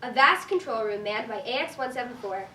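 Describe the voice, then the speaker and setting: A woman with a fairly high voice reads stage directions as scripted narration. The room is echoey and she sounds some distance from the mic, with faint noise behind. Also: expressive, a little fast, sharp tone.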